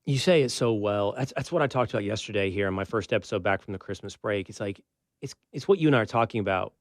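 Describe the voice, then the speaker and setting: A man with an American accent hosting a talk show, giving conversational, emphatic political commentary with pauses for effect. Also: fairly low pitch, no echo, clean studio sound. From United States.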